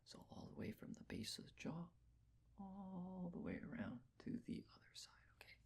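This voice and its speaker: A man speaking in a breathy whisper, gently and reassuringly giving step-by-step instructions in an ASMR personal-attention roleplay. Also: high voice, big pitch swings, moderate pace. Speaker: ASMR roleplayer taking face measurements.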